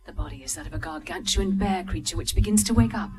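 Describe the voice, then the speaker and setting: A woman reading stage directions at a live script reading, brisk and amused, with the odd slip. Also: mid-range voice, sing-song. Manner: brisk, amused, occasional slips.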